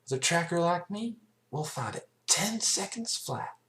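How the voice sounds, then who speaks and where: Man voicing a brash, high-pitched cartoon mare. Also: animated, a little slow, fairly bright tone. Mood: boastful. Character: cocky, brash young female cartoon pony. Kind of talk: amateur fan fiction reading of cartoon characters.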